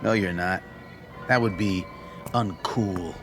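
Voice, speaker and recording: An actor playing a mocking young alien gang leader who talks in beatnik slang in a radio drama. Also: male voice, low voice, slow. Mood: cold, hypnotic. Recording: music behind the voice.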